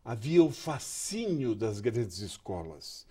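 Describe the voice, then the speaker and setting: Older male guest, husky, unhurried recollections. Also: mid-range voice, big pitch swings, mellow. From Curitiba, Brazil. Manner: slow, halting, repeats words while recalling. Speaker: guest journalist. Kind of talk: reminiscing interview talk. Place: TV studio.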